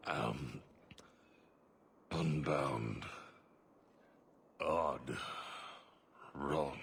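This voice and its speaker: An actor playing a solemn, devout giant captive mage in a fantasy video game, grave and halting in his speech. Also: very deep voice, creaky, fairly bright tone, exaggerated voice. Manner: halting, grave, terse, deliberate. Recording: music playing behind the voice.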